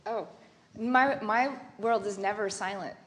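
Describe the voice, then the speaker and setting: A woman's voice: medium pitch, measured pace, a little hiss or hum. Chatty woman on an art panel. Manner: chatty, rambling with restarts. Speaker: panelist artist. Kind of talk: casual panel discussion.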